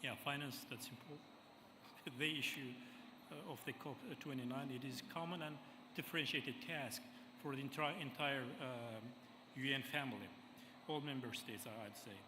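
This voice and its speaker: Halting, formal male moderator in an echoey hall. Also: medium pitch. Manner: halting, formal, many ums and restarts. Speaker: session moderator. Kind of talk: chairing a conference session. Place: large conference hall. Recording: echoey hall with clear background noise.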